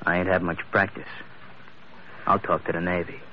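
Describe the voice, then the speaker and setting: An actor playing a sullen, burly American stowaway bent on revenge, talking tough and slangy in 1940s radio style. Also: male voice, sits low, little pitch movement, normal speed. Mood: defiant, bitter. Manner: surly, tough, slangy, grudging. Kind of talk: 1940s radio adventure acting. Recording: muffled old radio recording, faint noise.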